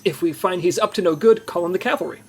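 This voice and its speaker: An actress playing a businesslike, commanding spy chief giving a briefing in an audio comedy. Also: female voice, lowish voice, husky, soft background music. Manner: brisk, instructing. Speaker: spy agency section chief. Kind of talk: comedy audio drama acting.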